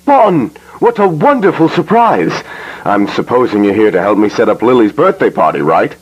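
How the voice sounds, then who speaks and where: A man's voice: on the low side, sing-song, normal speed, slightly rough, muffled recording. A man playing a showy, gleeful, sinister animatronic party showman in a horror cartoon, with theatrical barker delivery. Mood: jolly, welcoming.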